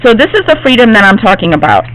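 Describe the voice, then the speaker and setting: A woman art teacher, slightly hoarse from losing her voice, gives a classroom demonstration and often checks in with her students. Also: medium pitch, sing-song, very fast, low-quality recording. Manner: reassuring, checks in with "okay?".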